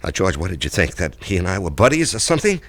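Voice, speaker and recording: An actor in a radio drama playing an anxious, evasive corporate executive who hesitates and stammers. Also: male voice, sits low, sing-song, fast talker, full character voice. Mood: defensive, flustered. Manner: hesitant, stammering when pressed.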